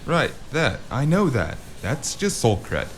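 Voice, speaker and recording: A man playing a gruff, wry cyberpunk drifter in a noir audio drama, with a dry, understated delivery and a gravelly voice. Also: mid-range voice, wildly swooping pitch, a little slow. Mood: sheepish, dry. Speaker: voice actor and first-person narrator. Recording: clearly noisy with ambient sound behind.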